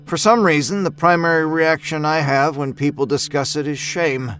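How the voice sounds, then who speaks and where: Man narrating a dark tale over music. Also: fairly high pitch, steady tone, normal speed. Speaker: audio fiction narrator. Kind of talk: scripted first-person horror story narration.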